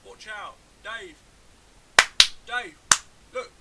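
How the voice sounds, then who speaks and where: A panicky, high-voiced lookout, played by a man in a comic skit. He stammers urgent, exasperated warnings over and over, distant from the mic amid heavy noise. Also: very animated, extremely slow, gravelly, full character voice. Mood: comic alarm. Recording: very noisy, distant microphone.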